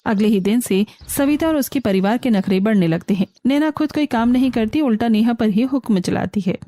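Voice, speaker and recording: A woman's voice: fairly high pitch, animated, brisk, dark tone, no room sound. A woman reading scripted narration for a cartoon family story.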